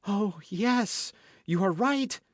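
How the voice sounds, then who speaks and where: A man reading an audiobook as an anxious, guarded young gentlewoman who speaks in a formal, fretful, pleading way. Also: medium pitch, lively intonation, normal speed, no room sound, studio quality, pitched above the performer's own voice, natural voice. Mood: frightened.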